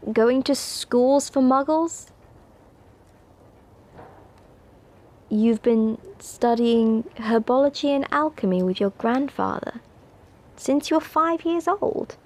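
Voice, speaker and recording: A haughty young upper-class schoolgirl, played by a woman in a wizard-academy ASMR roleplay. Her voice sits a little high and she speaks very slowly. She is prim and snooty, scolding and teasing the listener as she talks. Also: darkish tone. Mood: curious, condescending.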